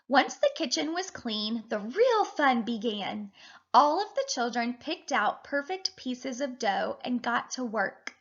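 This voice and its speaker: A woman in her thirties, a teacher reading and talking to children in an animated, deliberate way. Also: fairly high pitch, dull audio. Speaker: teacher reading a picture book aloud to children. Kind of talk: expressive children's read-aloud and lesson.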